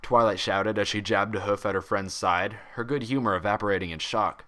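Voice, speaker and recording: A man reading fan fiction aloud as narrator, with dramatic, expressive scripted delivery. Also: fairly low pitch, fairly quick.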